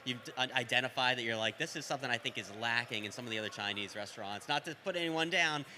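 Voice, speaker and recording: A man's voice: mid-range voice, fast, pushed and bright, a little hiss or hum. A man interviewing restaurant owners, asking long, rambling, friendly questions.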